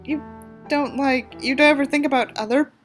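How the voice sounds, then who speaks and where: A woman voicing a lovesick, sullen teenage boy with a halting, awkward delivery in a story reading. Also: high-pitched, fairly even intonation, soft background music, natural voice. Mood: hesitant, hopeful.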